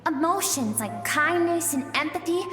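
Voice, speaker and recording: A woman voicing a game character, the gentle, yearning emotional self of a warrior, speaking with measured, earnest drama. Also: high voice, animated. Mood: pleading. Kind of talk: scripted video game voice acting. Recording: echoey, long reverb, music behind. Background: music.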